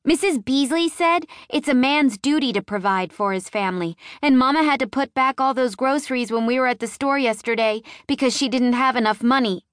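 A woman's voice: high voice, brisk, few pauses, smooth, no room sound, studio quality, voice pushed up, close to an everyday voice. A woman voicing a sassy, frightened four-year-old girl in an audiobook, childlike and pleading.

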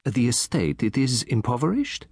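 Brief: pompous little older detective, formal and precise, husky and dry , a man reading him. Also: medium pitch, very animated, normal speed, darkish tone, dry recording. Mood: probing. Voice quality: formal, precise, self-important phrasing. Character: fussy vain little Belgian male detective, older. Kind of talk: audiobook character voice.

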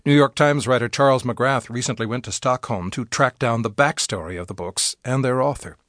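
A man's voice: medium pitch, a little fast, a bit bright, dry recording, clean studio sound. A man reading scripted narration as a radio host.

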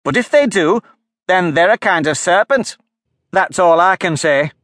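A fretful storybook pigeon shrieks and scolds in a high, shrill voice, as a man acts her out. Her pitch leaps about wildly, and she stretches some words out slowly. The sound is dry and close. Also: lively intonation, a bit bright, no echo. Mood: contemptuous. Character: fretful, shrill female pigeon guarding eggs.

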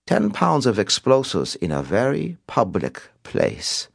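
A man voicing a sinister European criminal caller with an accent, speaking in a slow, flat, playfully menacing way. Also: sits low, vocal fry, dry recording, studio quality, exaggerated voice. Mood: calm menace. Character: sinister European male bomber taunting police by phone.

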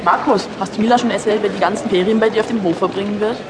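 A man's voice: high voice, fairly even intonation, racing. A young actor playing a cheeky teenage boy in a radio play, teasing his friends. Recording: outdoor film sound with loud crowd noise.

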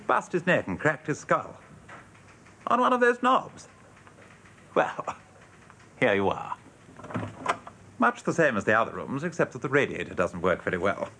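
A man's voice: mid-range voice, very animated, measured pace, pauses often, bright, forceful tone, a little hiss or hum, full character voice. An actor playing a world-weary, sardonic English advertising man with a dry, mock-pompous manner in a radio drama. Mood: wry, breezy. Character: world-weary, sardonic male advertising copywriter showing newcomer around.

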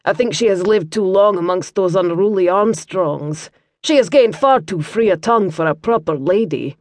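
Brief: fussy Scottish monk, prim and reproving, pitched low, as a woman narrator puts him on, storybook read. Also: expressive, moderate pace, dry recording, natural voice. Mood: earnest. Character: pious Scottish male monk, fussy and flustered.

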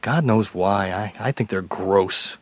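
Low-voiced, evasive troubled husband. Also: male voice, dry recording, dull audio. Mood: uneasy recounting. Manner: halting, stammering, wry. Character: troubled husband, evasive, self-deprecating, darkly joking hard drinker. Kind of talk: naturalistic radio drama acting.

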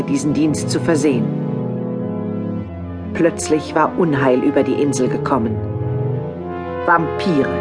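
Female narrator, low voice, measured, music behind. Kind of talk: scripted narration.

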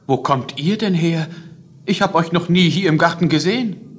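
Higher-voiced earnest eldest prince. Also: male voice, normal speed, husky. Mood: lamenting. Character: eldest prince, young, earnest, determined son. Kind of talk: German fairy-tale radio play acting.

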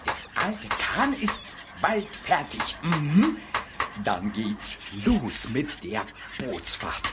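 A woman's voice: deep voice, wildly swooping pitch, drawn-out words, heavy background noise, full character voice. A woman voicing a cheeky, playful young creature in a children's audiobook, talking in a sing-song, giggly way. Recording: children's audio drama with music behind.

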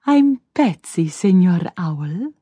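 A mischievous female spider from a children's storybook, as a woman narrator puts her on, teasing and curious. She speaks slowly in a mellow tone, slyly dropping in Spanish words. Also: fairly low pitch, sing-song, slightly rough, no echo, full character voice. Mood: smug. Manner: sly, drops in Spanish words.

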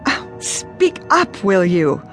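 An actress playing a vain, hard-of-hearing company boss, haughty and impatient, in an audio drama. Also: female voice, mid-range voice, very animated, takes it slowly, soft background music. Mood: impatient, bossy. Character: imperious, vain, hard-of-hearing female cosmetics executive. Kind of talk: radio drama acting.

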